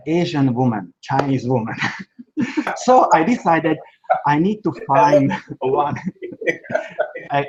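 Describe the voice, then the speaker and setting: A man's voice: fairly high pitch, darkish tone. Man on dull lo-fi audio. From Slovakia. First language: Hungarian. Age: 40s. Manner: halting, plain English with small grammar slips. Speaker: guest, sourcing expert.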